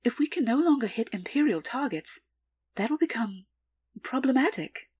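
A woman playing a calculating, deferential underworld information broker in an audio drama, with formal, measured, precise delivery. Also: high voice, lively intonation, darkish tone, husky, no room sound. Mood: cool, deliberate.

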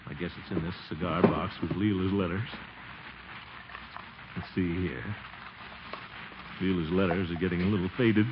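An actor playing a flustered, sentimental middle-aged American bachelor in a theatrical 1940s radio comedy style. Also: male voice, low-pitched, noisy background. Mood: wistful, resigned. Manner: theatrical, sighing, exclaiming. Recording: muffled 1940s radio recording with music.

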